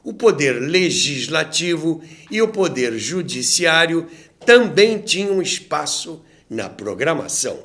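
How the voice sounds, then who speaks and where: Animated, unhurried Brazilian man narrating. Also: on the high side. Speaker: host and narrator of a radio history show. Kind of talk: storytelling documentary narration.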